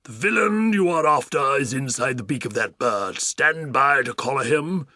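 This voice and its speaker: An actor playing a pompous, blustering old duke who bellows his orders slowly and emphatically. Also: medium pitch, creaky, pushed and bright, no echo, studio quality, heavily acted. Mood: commanding.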